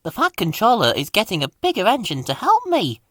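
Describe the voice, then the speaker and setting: A man's voice: sits high, huge pitch swings, fairly quick, a bit bright, dry recording, pitched above the performer's own voice, exaggerated voice. A man voicing a plaintive, earnest little male tank engine from a storybook, proud but put-upon.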